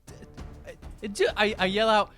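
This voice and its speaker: A man playing a tabletop role-playing game, chatting casually in a halting, wry, jokey way. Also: sits high, big pitch swings, a bit bright. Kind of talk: casual role-play chat. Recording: music playing behind the voice. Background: background music.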